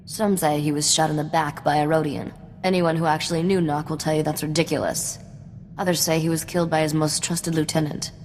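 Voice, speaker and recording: A woman's voice: deep voice, level tone, brisk, darkish tone, in a large hall, slight background noise, close to an everyday voice. An actress playing a shrewd, self-assured woman mechanic among space smugglers, with a dry, sardonic manner, in a video game. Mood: wry. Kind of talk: video game cutscene acting.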